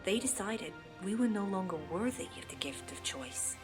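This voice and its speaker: A woman's voice: medium pitch, animated, normal speed, lightly acted. Woman voicing cartoon opossum mother, earnest dramatic storyteller, casual playful asides, easily flustered, prominent background music. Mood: earnest, solemn. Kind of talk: animated fandub, bedtime-story narration. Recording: loud music behind the voice.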